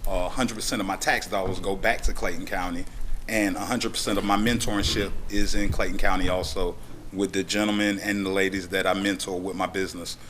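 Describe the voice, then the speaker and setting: A man speaks at a podium microphone in a county meeting room. His voice is very deep and stays flat. He talks plainly and steadily, with occasional "uh" fillers. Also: brisk, slight background noise. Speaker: business owner applicant. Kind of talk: public hearing statement.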